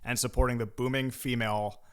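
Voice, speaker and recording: A man's voice: medium pitch, flat delivery, a little fast, clean tone. A man co-hosting a podcast who reads out news items and jokes playfully between them. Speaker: podcast co-host. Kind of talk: casual news discussion, reading headlines.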